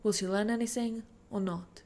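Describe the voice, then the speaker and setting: A woman's voice: mid-range voice, steady tone, moderate pace, darkish tone. A woman reading a written passage aloud in a formal style. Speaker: reader of a quoted passage.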